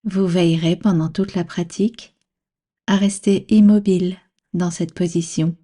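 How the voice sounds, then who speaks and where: A woman guiding a relaxation practice as a meditation instructor, speaking calmly and soothingly in measured instructions with long pauses. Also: on the low side, slightly rough. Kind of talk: guided yoga nidra relaxation.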